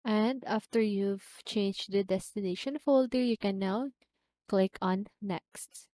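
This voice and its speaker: A woman presenting a step-by-step software tutorial in an instructional manner, often checking in with a short question. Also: mid-range voice, steady tone, slow, no room sound, clean studio sound.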